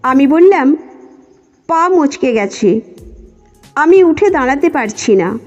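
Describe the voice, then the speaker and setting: A woman's voice: high-pitched, lively intonation, takes it slowly. A woman reading a scripted first-person story as its narrator. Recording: muffled lo-fi audio with music. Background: background music.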